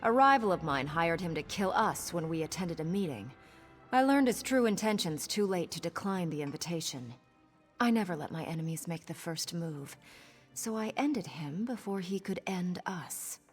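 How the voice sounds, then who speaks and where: A woman's voice: lowish voice, expressive, normal speed, breathy edge, long reverb tail, soft background music. An actress playing a scheming, cynical pirate woman in a video game, with a sardonic, conspiratorial manner. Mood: bitter, matter-of-fact. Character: scheming roguish female pirate, sly and cynical. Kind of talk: video game character dialogue.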